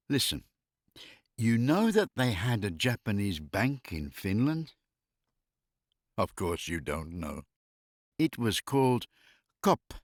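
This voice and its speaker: Jokey man with lively, swinging intonation. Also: medium pitch, no room sound, studio quality. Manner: jokey, wry, often laughing at own jokes. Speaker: expatriate living in Finland. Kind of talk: casual humorous chat, telling anecdotes and jokes.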